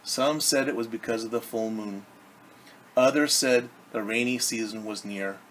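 A man's voice: medium pitch, normal speed, fairly bright tone, low-quality recording. A middle-aged man reading a story aloud to children as a teacher, expressively, with simple explanations, recorded in a living room at arm's length from the camera. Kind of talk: read-aloud storytelling with asides explaining words. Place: indoors in a home living room. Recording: clear background room noise.

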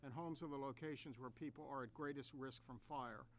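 An older man reads a formal proclamation in a measured way at a desk microphone in a council meeting room. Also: mid-range voice, slight background noise. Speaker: council member reading a proclamation.

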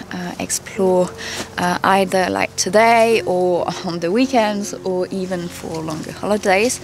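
Chatty woman vlogging outdoors. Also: medium pitch. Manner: friendly, chatty, with small laughs and fillers. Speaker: vlogger, mother. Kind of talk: casual vlog narration to camera. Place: outdoors in a winter forest by a car. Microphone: camera held at arm's length.

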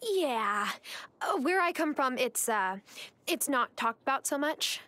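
A woman's voice: sits high, lively intonation, measured pace, pushed and bright, no room sound, full character voice. A woman playing an eager, polite young newcomer in a video game, earnest and a little hesitant.

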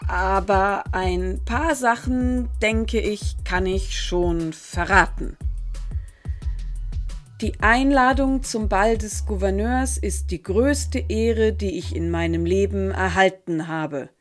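A woman's voice: medium pitch, slow, soft background music, natural voice. A woman playing a reserved, plain-spoken soldier being interviewed on a radio show, hesitant and guarded in her answers. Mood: guarded, earnest.

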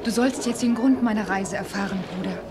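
A woman's voice: mid-range voice, a little fast, over loud music, natural voice. An actress playing an earnest, determined young woman in a radio play. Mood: earnest, resolute. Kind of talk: German radio play acting.

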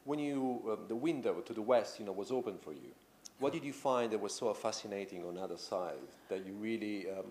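A low-pitched man in his thirties, hosting an indoor interview. His questions wander and stack up, padded with "you know" and "I mean", over a faint room hum. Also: a little fast, hardly any pauses, a little mellow. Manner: rambling, long winding questions full of you know. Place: indoor room with tapestries and a sofa.

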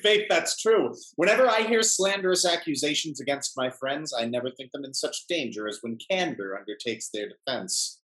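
A middle-aged man acting a smooth-talking, hypocritical gentleman in a period stage comedy, courtly and sententious. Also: medium pitch, expressive, moderate pace, natural voice, rough recording. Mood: sanctimonious. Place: indoor room, performing on video call.

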